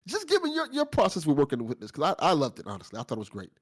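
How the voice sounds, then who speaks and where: A man's voice: on the high side, huge pitch swings, racing, husky. A man hosting a casual after-show discussion, effusive and informal in his praise. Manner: effusive, informal, lightly sweary. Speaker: after-show host of a fiction podcast.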